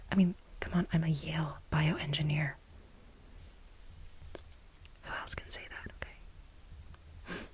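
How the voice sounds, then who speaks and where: A woman playing a frantic, aggrieved overworked bioengineer in a soft-spoken ASMR role play, with breathy whispering and halting, repeated words for dramatic stress. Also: low voice, fairly even intonation. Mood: indignant, insistent. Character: frantic, aggrieved overworked female bioengineer pleading with her boss.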